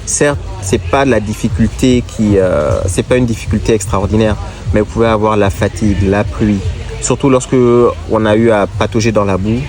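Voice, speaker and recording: A man with a cold and a congested voice, looking back on a motorcycle road trip and giving advice in a measured, halting way. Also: lowish voice, a little mellow. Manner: measured, halting, gives advice directly to viewers. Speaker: motorcycle traveler. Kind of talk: casual reflective talk to camera.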